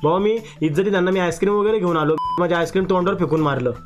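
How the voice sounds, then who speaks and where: A man's voice: sits high, racing, over music. A young man making a prank call in casual street slang, telling his story in an agitated, complaining way. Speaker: prank caller on a live stream.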